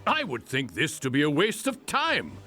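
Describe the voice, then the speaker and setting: A man's voice: fairly high pitch, huge pitch swings, moderate pace, bright, forceful tone, faint music behind, exaggerated voice. An actor playing a vain, haughty superhero king of the sea who talks pompously and formally in a comedic game scene. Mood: haughty disbelief. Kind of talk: comedic game cutscene acting.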